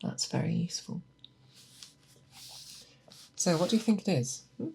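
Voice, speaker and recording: A performer in an ASMR roleplay playing a patient, methodical monster hunter who mentors an apprentice, speaking deliberately and coaxing with questions. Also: medium pitch, sing-song, gravelly, darkish tone, slight background noise. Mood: calm, focused. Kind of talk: ASMR roleplay, scripted character monologue.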